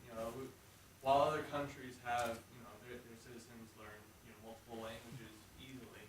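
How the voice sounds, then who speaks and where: A man's voice: mid-range voice, little pitch movement, a little fast. Distant man asking from the audience. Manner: hesitant, casual. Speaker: audience member asking a question. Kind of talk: audience question. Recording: distant, echoey hall with noise.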